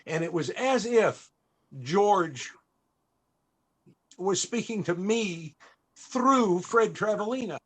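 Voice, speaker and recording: A man hosting a panel, telling a personal story in a halting, reflective and emotional way. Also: sits high, expressive, a bit bright. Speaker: panel host and entertainer. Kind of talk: storytelling at a live interview panel.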